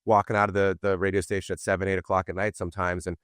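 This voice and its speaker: Middle-aged guy, low voice, dry close mic, lively storyteller. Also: very fast, smooth, dry recording. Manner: animated storyteller, acts out quoted voices. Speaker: podcast guest. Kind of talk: casual interview storytelling.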